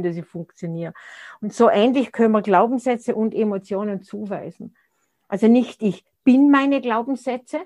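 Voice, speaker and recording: Thoughtful woman in her sixties. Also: medium pitch. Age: 60s. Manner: thoughtful, searching for words with frequent restarts. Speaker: interview guest. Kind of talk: reflective conversational interview.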